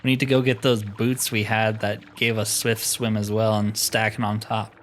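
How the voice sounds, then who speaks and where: A man's voice: fairly low pitch, flat delivery, moderate pace, a little hiss or hum. A man giving casual, informal commentary on a game playthrough, musing aloud to viewers with mild exclamations. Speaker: gaming channel host.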